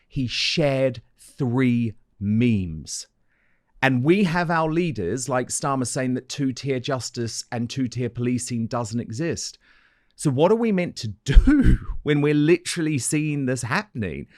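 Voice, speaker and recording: A man's voice: medium pitch, animated, takes it slowly, no echo, clean studio sound. A man hosting an interview and asking indignant questions. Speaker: host. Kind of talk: interview host.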